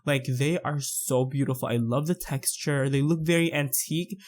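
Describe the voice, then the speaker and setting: A man's voice: medium pitch, fairly even intonation, normal speed, darkish tone. A man in his twenties from the Philippines, chatting casually over a build video with lots of fillers. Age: 20s. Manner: chatty, rambling, many fillers. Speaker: YouTuber narrating a build.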